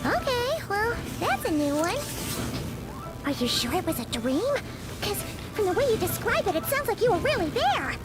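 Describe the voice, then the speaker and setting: A woman voicing a small, childlike fairy companion in a video game, squeaky, chatty and loyal, speaking of herself in the third person. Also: very animated, moderate pace, over loud music. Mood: puzzled.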